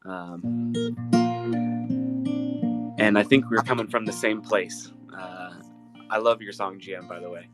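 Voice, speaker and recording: An American man, a singer-songwriter guest, talking casually and from the heart in an earnest, effusive, halting way. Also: lowish voice, steady tone, a bit bright, soft background music. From Ohio, United States. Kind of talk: casual heartfelt chat between live songs.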